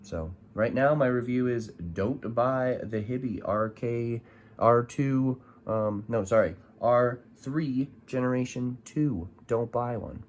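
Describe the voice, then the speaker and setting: A man's voice: medium pitch, slow, lo-fi sound, slight background noise. A man giving a casual, unscripted gadget review, informal and hesitant as he thinks aloud. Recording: music in the background.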